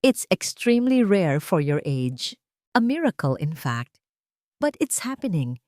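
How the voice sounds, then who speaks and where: A woman with a Filipino accent voicing a hesitant, amazed, gentle doctor in an audio story. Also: on the low side, sing-song, measured pace, dry recording, clean studio sound. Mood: amazed, careful.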